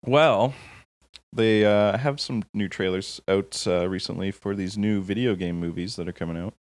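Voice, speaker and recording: A man co-hosting a casual chat show, quick to laugh and informal in the way he talks. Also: on the low side, no echo. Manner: laughs easily and often. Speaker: podcast host. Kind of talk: casual chat about video game films.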